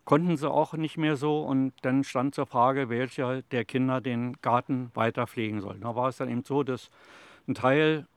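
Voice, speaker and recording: Older retiree, man, 70s, medium pitch, dry close sound, calm and rambling. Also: moderate pace, clear, resonant tone, no room sound. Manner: calm, plain, rambling storyteller with fillers like "also" and "eben". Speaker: interviewee, retiree. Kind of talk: casual interview answers. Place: outdoors in a city allotment garden.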